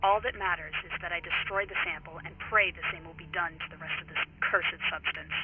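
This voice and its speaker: A man's voice: fairly high pitch, steady tone, a little fast. An actor playing a male scientist in a recorded message, speaking in a solemn, fearful way. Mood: solemn dread. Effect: recorded message playback. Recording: tinny phone-like line with heavy noise.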